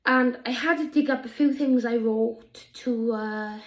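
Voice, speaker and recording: A woman in her mid-twenties chats casually to a camera as a video host. Her voice sits fairly high with noticeable creak, and she takes her time. The room sounds echoey. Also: steady tone, a little slow. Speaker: video host and amateur actor. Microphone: camera held by another person.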